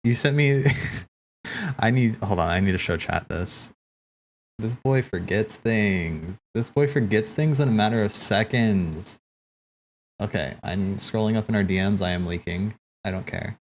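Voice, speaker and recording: A man hosting a watch party, chatting casually in an animated, slangy, teasing way. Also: fairly low pitch, unhurried, slight background noise. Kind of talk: casual live reaction chat. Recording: game music playing behind.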